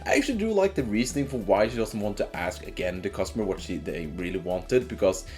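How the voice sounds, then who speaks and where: Man, lively swinging intonation, casual review narration, informal and sweary, faint music behind. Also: fairly low pitch, quick pace. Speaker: video game reviewer. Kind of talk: casual scripted video game review narration.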